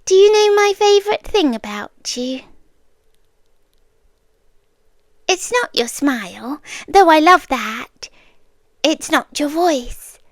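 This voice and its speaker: A British woman acting in an ASMR roleplay as an obsessive, calmly possessive girlfriend, speaking intimately and often slipping into whispers. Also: sits high, dry recording, studio quality, exaggerated voice. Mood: tender, insistent. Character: obsessive, soft-spoken yandere girlfriend, calmly possessive young woman captor.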